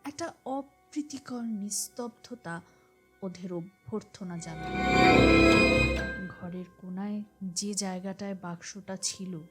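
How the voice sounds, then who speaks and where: A woman narrating a scripted detective suspense story in a measured, dramatic storytelling manner, with phrases that drop to a hush at the end. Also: mid-range voice. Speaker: narrator of an audio story podcast. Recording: voice over a music bed. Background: music.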